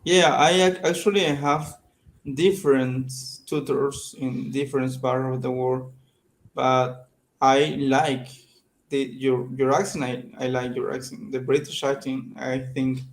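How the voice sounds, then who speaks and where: Slow-talking man with a Mexican accent. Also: medium pitch, level tone, rough recording. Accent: Mexican Spanish. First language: Spanish. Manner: hesitant, halting learner English. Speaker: English learner. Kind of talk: casual video-call lesson chat. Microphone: video call.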